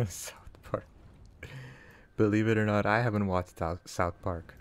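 Canadian guy, english not his first language, laid-back chatty streamer, lowish voice. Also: unhurried. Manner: relaxed, chatty, answers chat and laughs often. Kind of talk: casual live-stream chat while gaming.

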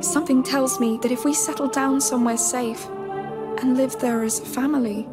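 A woman's voice: high-pitched, steady tone, moderate pace, over music, lightly acted. A woman playing an earnest, protective teenage girl in a video game cutscene. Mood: earnest, hopeful.

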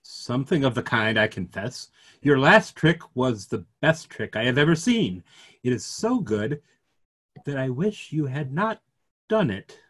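A middle-aged man playing an earnest, gently ironic clergyman in a play reading, wordy and rhetorical. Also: mid-range voice, big pitch swings, measured pace, slightly rough, full character voice. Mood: puzzled, probing. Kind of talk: remote script reading of a stage play. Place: small room at home, video call.

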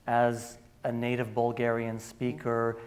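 A man's voice: mid-range voice, completely flat delivery, slow pace. A man interviewing a guest on a large stage, asking long, winding questions. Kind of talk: onstage conversation. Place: large stage before an audience.

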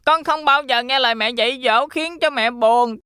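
A woman's voice: a little high, moderate pace, pushed and bright, smooth, no echo, studio quality. A woman voicing a carefree, easygoing young pig brother in a children's cartoon. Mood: apologetic.

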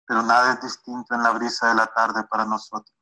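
A man's voice: medium pitch, monotone, a little fast, pushed and bright, poor audio. A man reading his own poem aloud, played back as a recording. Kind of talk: poetry reading.

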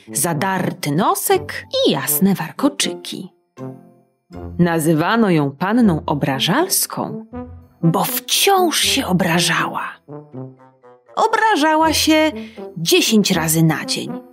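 A woman reading a children's audiobook in an expressive, sing-song storytelling manner. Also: on the low side, slow, faint music behind.

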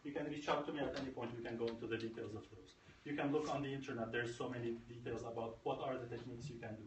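A man's voice: medium pitch, fairly even intonation, rapid-fire. A man in his thirties giving a motivational tech-conference talk, emphatic and repeating phrases for effect. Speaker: conference speaker. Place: conference room. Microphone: far camera. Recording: echoey room, far from camera, faint noise.

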